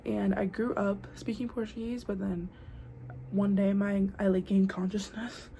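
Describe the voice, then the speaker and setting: A woman's voice: mid-range voice, little pitch movement, unhurried, creaky, warm tone. A young American woman talking casually to camera, full of "like" and "girl", sometimes dropping to a whisper, recorded on a built-in mic with faint outdoor noise. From United States. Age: young adult. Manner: chatty, lots of "like" and "girl", drops to a whisper at times. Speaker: vlogger. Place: noisy spot with outside sound. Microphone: built-in device mic, no proper microphone.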